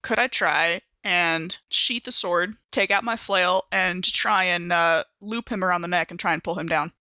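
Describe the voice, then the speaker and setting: Woman, bright forward voice, mid pitch, dry sound, casual tabletop game player chat. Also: normal speed, no room sound.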